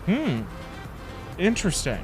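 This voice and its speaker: A man's voice: sits high, huge pitch swings, takes it slowly, music in the background. A man reacting live to a game as a streamer, in an exclamatory manner. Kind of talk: live reactions over gameplay.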